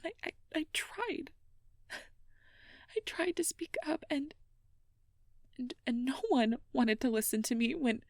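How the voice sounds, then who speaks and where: A woman playing a stressed, self-blaming young air mage who confides haltingly and half-whispered in an intimate ASMR role-play. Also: high-pitched, lively intonation, no room sound, studio quality, heavily acted. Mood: hurt, near tears.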